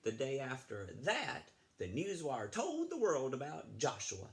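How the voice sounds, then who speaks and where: Gravelly, lower-pitched man reading to children. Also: a little slow. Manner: animated, chatty, asks the young listeners questions. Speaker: children's read-aloud storyteller, school librarian. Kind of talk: expressive picture-book read-aloud with asides to children.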